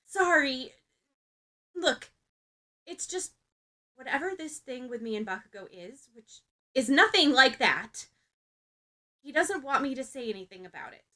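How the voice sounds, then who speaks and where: A woman's voice: high voice, very animated, unhurried, pauses often, sharp tone, heavily acted. A woman voicing a flustered, awkward teenage schoolgirl in a storybook, stammering and defensive. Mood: apologetic. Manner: stammering, uncertain, overly emphatic denials.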